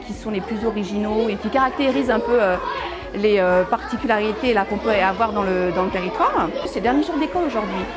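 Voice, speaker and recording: A woman explaining and announcing things in an informal spoken style. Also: fairly high pitch. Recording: loud music and crowd noise. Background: loud music, crowd.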